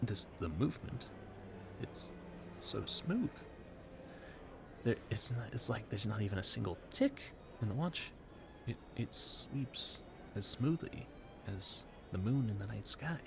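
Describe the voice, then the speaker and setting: Polite, awkward gentleman ball guest for audio roleplay, played by a man; deepish creaky voice, effusive, stammering, rambling. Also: lively intonation, unhurried, pauses often, noisy background. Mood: awestruck. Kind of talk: audio roleplay addressed to the listener.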